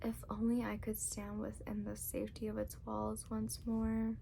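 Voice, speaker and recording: A woman reading a story aloud in ASMR style, speaking softly and often dropping into a whisper. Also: mid-range voice, completely flat delivery, moderate pace, gravelly, slight background noise. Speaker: ASMR reader.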